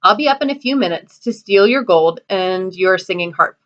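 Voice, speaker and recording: A woman's voice: mid-range voice, expressive, normal speed, a bit bright, lo-fi sound, natural voice. A woman voicing a cocky, boastful young male trickster who talks in a cheeky, sarcastic and bossy way.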